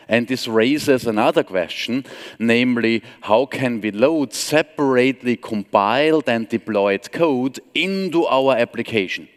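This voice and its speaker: A man in his forties from Austria with an Austrian German accent, giving a conference tech talk from a stage with a relaxed, wry, self-deprecating humor. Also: mid-range voice. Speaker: conference speaker. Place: large conference hall stage. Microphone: headset mic. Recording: long hall reverb.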